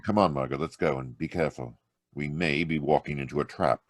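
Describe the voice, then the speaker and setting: A man acting a calm, resolute amateur sleuth in an old-time radio mystery. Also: extremely low pitch, fairly quick, dry recording, studio quality. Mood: grave, resolute. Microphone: video-call microphone.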